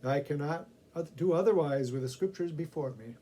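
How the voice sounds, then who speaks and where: A man's voice: on the high side, fairly quick, mellow, slight background noise, natural voice. A man voicing a very orthodox minister in a storybook tale, courteous, formal and solemnly earnest.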